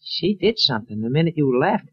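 A muffled 1940s radio recording of a scrawny, nervous barfly lush. The voice is rough and leaps around in pitch. He stammers and wheedles, breaking into giggles. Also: sing-song, brisk. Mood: wheedling, giggly. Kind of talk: 1940s radio drama acting.

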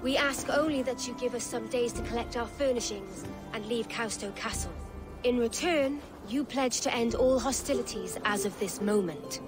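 An actress playing a dignified middle-aged noblewoman, formal and measured in her speech. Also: a little high, lightly acted. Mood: diplomatic. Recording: game soundtrack with music underneath.

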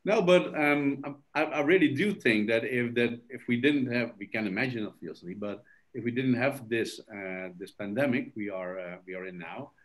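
A man's voice: medium pitch, moderate pace. A man talking on a video-call panel, hesitant with many fillers. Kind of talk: casual panel talk.

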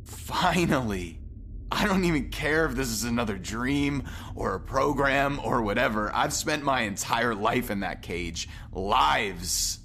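A man's voice: medium pitch, lively intonation, unhurried, gravelly, bright, forceful tone, a little hiss or hum, exaggerated voice. A man playing an embittered, injured captive newly freed after a lifetime caged, speaking with dramatic intensity. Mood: bitter, vengeful. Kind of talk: sci-fi audio drama acting.

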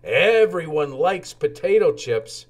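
A man's voice: on the high side, very animated, slow pace, pitched above the performer's own voice, exaggerated voice. An eager, helpful worm from a children's storybook, voiced by a man. He speaks in a raised, swooping voice, confident and keen to suggest things. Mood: excited, confident.

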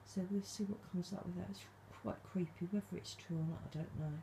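A woman vlogging at night from her dark bedroom, speaking softly and calling out to spirits, her voice a little stuffed up from hay fever. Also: on the low side, fairly even intonation, a little fast, slight background noise. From England. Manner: hushed, soft-spoken, calling out to spirits. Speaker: paranormal vlogger. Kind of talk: quiet late-night ghost hunting vlog.

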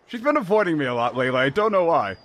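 A man's voice: on the high side, sing-song, rapid-fire, heavy background noise. A man playing a boastful, rambling character in an improvised pirate comedy fan dub. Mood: bemused. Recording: music behind the voice. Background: music.

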